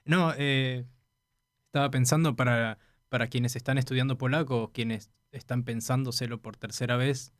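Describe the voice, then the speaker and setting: A man's voice: mid-range voice, fairly even intonation, moderate pace, no echo, clean studio sound. A man co-hosting a podcast, chatting in a friendly, joking way. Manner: friendly, joking, laughs easily. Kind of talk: casual podcast conversation.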